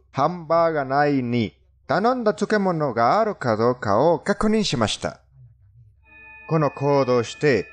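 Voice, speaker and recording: Male narrator, non-native accent, lively and melodic intonation, formal scripted storytelling with stilted phrasing, music underneath. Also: on the high side. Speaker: narrator of a fandubbed countdown video.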